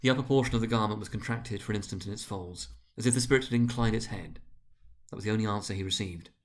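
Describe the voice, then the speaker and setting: A man narrating a story dramatically, with a grave, deliberate and theatrical delivery. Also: on the low side.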